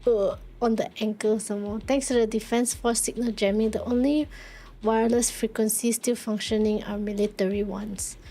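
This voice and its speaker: A woman's voice: medium pitch, little pitch movement, moderate pace, warm tone. A woman livestreaming a story game, reading the text aloud and reacting to it. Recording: game music behind the voice. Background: game music.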